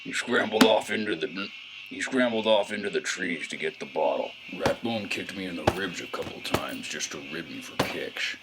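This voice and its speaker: A low, brooding gumshoe, acted by a man in a noir audio drama, with clear atmospheric noise behind him. He is world-weary and sardonic, with florid, deliberate hardboiled delivery. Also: lightly acted. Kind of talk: scripted hardboiled noir narration.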